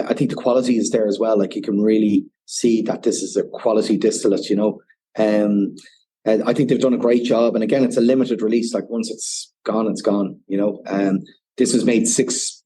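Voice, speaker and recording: A man's voice: lowish voice, fairly even intonation, normal speed, mellow, a little hiss or hum. A man with an Irish accent, a whiskey ambassador guest on a podcast, explaining at length and often saying "you know". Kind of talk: casual podcast interview.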